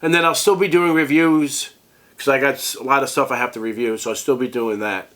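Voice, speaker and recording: A sick, congested man rambling through a casual vlog as a tech review host, halting and restarting often. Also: medium pitch, steady tone, a bit bright, a little hiss or hum.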